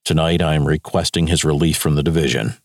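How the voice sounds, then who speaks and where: A man's voice: extremely low pitch, quick pace, no room sound, clean studio sound. A man with an American accent playing a stern wartime general, reading his logbook in clipped, grave military phrases. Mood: grave, disappointed.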